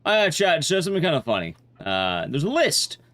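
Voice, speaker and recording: A man's voice: fairly high pitch, wildly swooping pitch, moderate pace, fairly bright tone. A man livestreaming a video game, giving casual gameplay commentary while chatting with his viewers.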